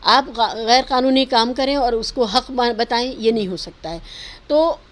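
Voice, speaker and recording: Woman, notably high voice. Interview guest arguing social and political points earnestly, with English phrases mixed in. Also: unhurried. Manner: emphatic, argumentative, mixes in English words and phrases. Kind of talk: earnest opinion interview, spontaneous speech.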